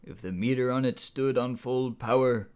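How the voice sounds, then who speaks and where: A man voicing a calm, menacing would-be king in an audiobook, speaking slowly and softly with deliberate breathy menace. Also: mid-range voice, close to an everyday voice. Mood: menacing, controlled. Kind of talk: audiobook character voice.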